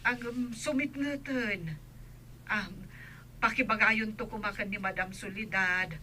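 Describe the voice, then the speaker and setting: A dutiful house helper with an Ilocano accent, anxious and deferential. Her voice sits at a middle pitch, over faint background noise, in radio drama style. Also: unhurried, pushed and bright. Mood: respectful, concerned.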